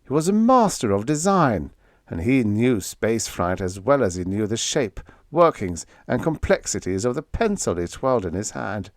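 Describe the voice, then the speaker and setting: A man reading scripted story narration for an audiobook in an expressive, dramatic manner. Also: on the low side, normal speed, dry recording, studio quality.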